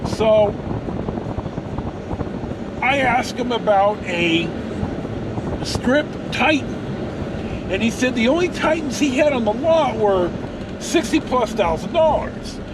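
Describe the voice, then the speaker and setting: Male vlogger, notably high voice, loose plain-spoken storytelling, recorded on the go with clear traffic and engine noise. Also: expressive, slow pace, pauses often. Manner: rambling, plain-spoken, mildly profane. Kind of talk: casual rambling storytelling vlog. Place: inside a moving car and outside on a car lot. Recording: noisy vehicle and outdoor background. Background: car and road noise.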